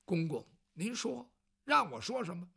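A storyteller voicing a reserved young official who answers briefly, quietly and politely, in a low, subdued tone. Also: big pitch swings, measured pace, vocal fry, slightly rough, exaggerated voice. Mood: deferential. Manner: quiet, terse, deferential. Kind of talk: traditional Chinese storytelling.

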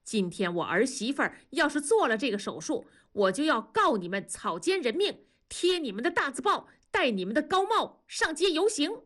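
A woman voicing a stubborn, domineering older mother-in-law who talks fast and insists on getting her way. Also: high-pitched, sing-song, a bit bright, voice pushed up. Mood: insistent. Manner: bossy, insistent, quick-tongued.

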